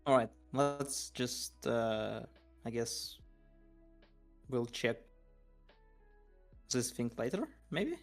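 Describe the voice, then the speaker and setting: A man's voice: mid-range voice, lively intonation, drawn-out words, pauses often, faint music behind. A man playing a tabletop game online, chatting casually in a hesitant, tentative way. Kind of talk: casual chat. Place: online voice call.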